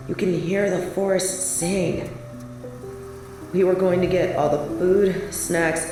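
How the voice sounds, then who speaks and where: Heavy echo and music surround a young woman played by a voice actress, set back from the mic. She is a wistful, defiant biker confiding her memories on a date in an audio drama. Her pitch sits at an ordinary middle height. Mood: fond, wistful. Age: young adult. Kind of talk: scripted audio roleplay monologue. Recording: very echoey, distant sound with music behind.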